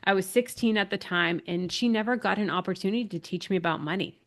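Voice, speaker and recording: A woman's voice: mid-range voice, fast. A woman in her thirties from Massachusetts hosts a live online business class in a chatty, upbeat way. She is getting over a cold, so she sounds a little nasal, with a dry throat and some breathlessness. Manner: chatty, upbeat, reads out chat names. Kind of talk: casual live webinar talk.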